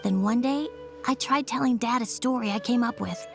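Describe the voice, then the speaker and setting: A woman playing an earnest, gentle young daughter in a video game, speaking in a childlike, hesitant and sincere way. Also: fairly high pitch, normal speed, vocal fry, faint music behind. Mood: nostalgic, earnest.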